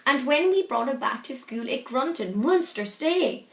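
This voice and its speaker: A woman reading a picture book aloud to young children in an animated, deliberate way. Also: high-pitched. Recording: echoey, roomy sound.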